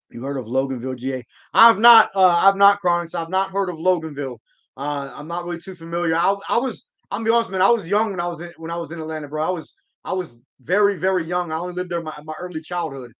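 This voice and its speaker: A man's voice: on the high side, a bit bright, muffled recording. A man hosting a live sports stream, chatting casually and answering viewer comments in a rambling, slangy way. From Georgia. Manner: laid-back slang, rambling restarts.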